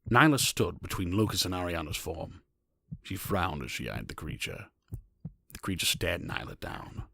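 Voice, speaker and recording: Male narrator, deep pitch, smooth resonant tone with vocal fry, scripted storytelling. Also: big pitch swings, moderate pace, sharp tone, dry recording, clean studio sound.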